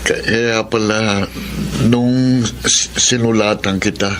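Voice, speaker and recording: A man voicing a frail middle-aged father who stammers slowly, over a noisy background. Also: on the low side, fairly even intonation. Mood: shaken. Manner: stammering, slow.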